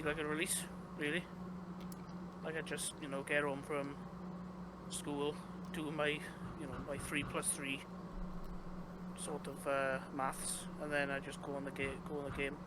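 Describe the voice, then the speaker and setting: A man's voice: on the high side, level tone, measured pace. Rambling young Welsh man, noisy line. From Wales. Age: young adult. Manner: rambling, many fillers and restarts. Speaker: guest game developer. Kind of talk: casual interview chat. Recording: noisy background.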